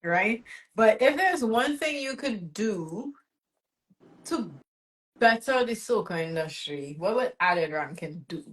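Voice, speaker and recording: A woman hosting a casual interview, asking questions in an unhurried way with short affirmations. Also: medium pitch, animated, rough recording. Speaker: interview host.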